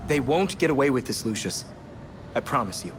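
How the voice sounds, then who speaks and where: A man's voice: mid-range voice, big pitch swings, fairly quick, noisy background, lightly acted. A man voicing an earnest, caring and determined young male superhero in a video game, speaking sincerely. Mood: concerned.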